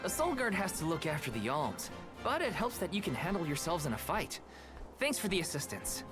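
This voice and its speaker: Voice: mid-range voice, sing-song, fairly quick, close to an everyday voice. A performer playing an upbeat, earnest young male guard in a fantasy video game, friendly and eager in delivery. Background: game music.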